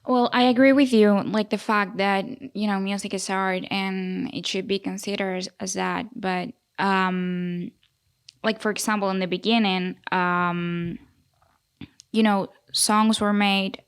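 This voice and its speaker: A woman's voice: medium pitch, steady tone, measured pace, clean tone. Hesitant young woman hosting a podcast. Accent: Spanish. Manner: hesitant, many fillers like "like" and "um". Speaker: podcast host, student. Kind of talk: student podcast discussion.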